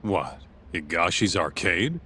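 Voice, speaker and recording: A man's voice: low-pitched, very animated, takes it slowly, bright, forceful tone, a little hiss or hum, heavily acted. A man playing a brash, casual tough sidekick to a detective in a video game cutscene. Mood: brisk, surprised.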